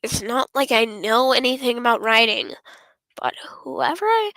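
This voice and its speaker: High-voiced, animated woman streaming a game. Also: normal speed, no room sound, rough recording. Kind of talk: casual visual novel read-aloud with asides.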